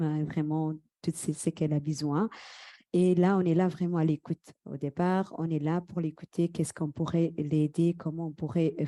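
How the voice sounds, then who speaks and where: Halting, mellow low-voiced Somali-speaking woman. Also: no echo. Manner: halting, repeating words while searching for phrasing. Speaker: community worker and interpreter. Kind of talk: spoken conference presentation. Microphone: microphone.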